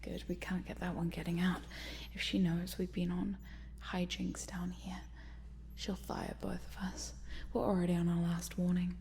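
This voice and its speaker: A woman playing a cute but sinister scientist in an ASMR roleplay, coaxing in a soft, breathy whisper. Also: fairly low pitch, little pitch movement, moderate pace, a little hiss or hum. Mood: playful, conspiratorial. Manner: hushed, coaxing, murmuring, giggly asides. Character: cute sinister lady scientist, chatty, coaxing, quietly gleeful.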